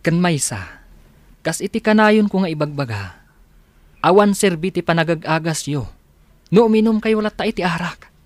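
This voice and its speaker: A man's voice: sits high, expressive, moderate pace, pauses often, no room sound. An actor playing a stern, earnest policeman son who speaks admonishingly in an Ilocano radio drama. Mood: reproachful. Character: stern grown policeman son scolding his father.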